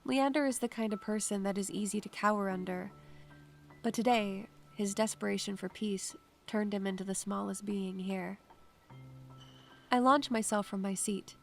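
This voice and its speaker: A woman playing an anxious, observant first-person narrator in a scripted audio drama, measured and literary. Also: medium pitch, fairly even intonation, gravelly, soft background music, natural voice. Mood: tense, hushed.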